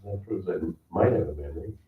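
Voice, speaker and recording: A man with a hoarse, fairly low voice chats casually in a folksy way. He is picked up from far off in an echoey room, with a slightly muffled sound. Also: fast. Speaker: county commissioner. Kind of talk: casual chat before a meeting. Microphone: distant room microphone. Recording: echoey room, distant mic, muffled, faint noise.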